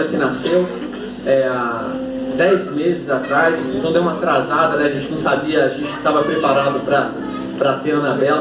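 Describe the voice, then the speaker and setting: Portuguese-speaking pastor in an echoey church. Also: male voice, on the high side, background noise. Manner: warm joking thanks, then emphatic scripture reading. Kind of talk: sermon. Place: church hall. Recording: echoey church hall from a distance. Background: congregation ambience.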